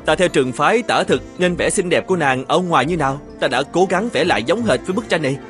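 A man voicing an earnest, evasive married painter in an animated folktale, with a polite and hesitant manner. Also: a little high, quick pace, faint music behind, close to an everyday voice. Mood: courteous.

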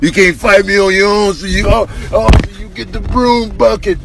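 Guy playing pompous scrappy crab, theatrical, higher voice, funny animal voiceover, slight noise. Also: steady tone, moderate pace, gravelly. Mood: indignant. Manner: theatrical challenges. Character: pompous blustering little crab, combative elevator guardian.

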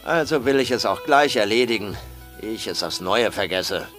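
A man's voice: fairly low pitch, lively intonation, moderate pace, a bit bright, soft background music. An actor playing a jovial, condescending male farmer of the 1850s in an audio drama. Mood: breezy, patronizing. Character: breezy, patronizing male plantation farmer of the 1850s. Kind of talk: German audio drama acting.

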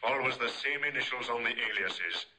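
An actor playing an efficient police sergeant making a clipped, deferential report in 1950s radio style. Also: male voice, medium pitch, moderate pace, bright, forceful tone. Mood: businesslike.

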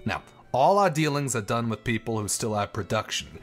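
A man's voice: medium pitch, expressive, moderate pace, soft background music, lightly acted. A man playing a persuasive, insistent bootlegger henchman in an audio drama. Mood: coaxing. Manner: coaxing, insistent.

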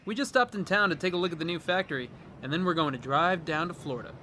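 An actor playing a breezy, wisecracking well-off pal in a radio drama, jaunty and slangy. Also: male voice, on the high side, fast talker, pushed and bright, a little hiss or hum. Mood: breezy, jovial.

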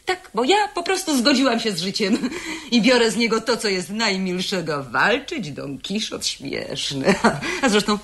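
A woman's voice: medium pitch, expressive, fairly bright tone, close to an everyday voice. Woman acting a shrewd young niece in an old radio play, wheedling, voice swooping all over. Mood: persuasive, flippant. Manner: wheedling, insistent, repeats words in rapid bursts. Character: shrewd, cajoling young niece, worldly family schemer.

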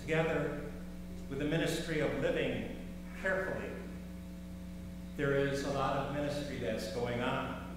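An older man preaching a sermon from a pulpit, slow and measured, with rising emphasis on key words. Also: mid-range voice, steady tone, recorded from a distance. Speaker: pastor. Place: large church sanctuary, at a pulpit. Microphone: far camera in the sanctuary. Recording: echoey church hall from afar, background hum.

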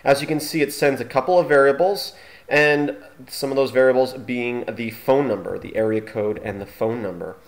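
A man presents a screencast tutorial in an informal way. His voice sits at a medium pitch, and he talks haltingly, thinking aloud as he works through each step. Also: low-quality recording. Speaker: tutorial host.

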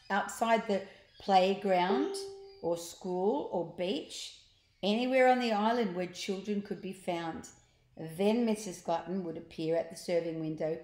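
A woman in her sixties reading a children's story aloud expressively and deliberately, with asides to young listeners. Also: fairly low pitch, a little mellow. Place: large open living room, indoors. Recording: roomy, echoing living room.

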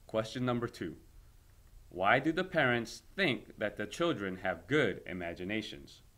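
A man reading a story aloud as a teacher, in an expressive lesson style. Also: fairly low pitch, unhurried.

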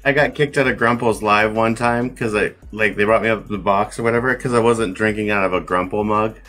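A man's voice: lowish voice, steady tone, a little fast, fairly bright tone. Easygoing joking man guest, faint music bed. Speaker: panel guest. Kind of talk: casual panel chat.

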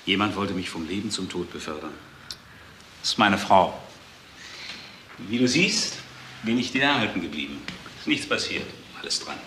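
Casting: sardonic doctor, low but sharp-edged voice, dry wit, echoing room. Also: male voice, animated, normal speed, long pauses. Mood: sardonic, shaken. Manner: wry, dry humour. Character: male hospital doctor, sardonic, dryly humorous. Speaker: actor. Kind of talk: German crime radio play dialogue. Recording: echoey room with faint noise.

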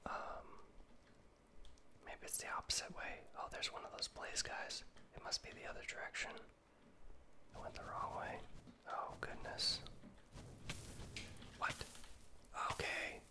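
A man's voice: unhurried, long pauses. A man whispering gameplay commentary in an ASMR style, talking himself through tense moments and repeating words when he panics. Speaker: gaming video creator.

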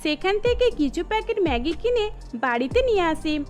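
Woman, cartoon story narrator, extremely high voice, scripted delivery, faint music behind. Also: big pitch swings, moderate pace, a little mellow. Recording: music bed under the voice.

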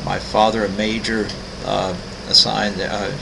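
Gravelly older man rambling through his art. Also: lowish voice, flat delivery, a little slow, background noise. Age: 60s. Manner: rambling, many ums and restarts. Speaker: artist walking through his website. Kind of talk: casual screen-recorded walkthrough.

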